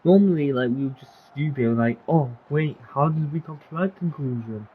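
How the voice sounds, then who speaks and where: A game streamer giving casual commentary, informal and rambling, thinking aloud in a husky voice. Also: medium pitch, moderate pace, narrow, tinny audio.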